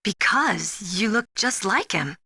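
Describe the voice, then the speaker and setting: A spirited young woman soldier from a video game, eager to prove herself. Her voice is bright and mid-pitched, recorded very dry, with a frank and expressive delivery. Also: takes it slowly, breathy edge, no echo, full character voice. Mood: playful, curious. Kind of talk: dramatic video game voice acting.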